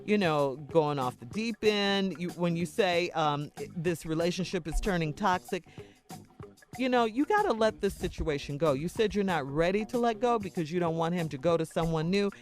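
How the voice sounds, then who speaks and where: A woman's voice: lowish voice, expressive, moderate pace, faint music behind. An American woman hosting a conversational morning radio advice segment, speaking frankly and admonishingly. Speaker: radio host.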